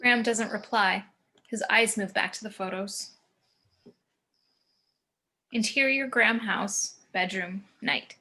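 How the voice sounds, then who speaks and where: A woman's voice: medium pitch, slow, pauses often, pushed and bright. A woman reading scene descriptions and action lines as the narrator of a screenplay table read.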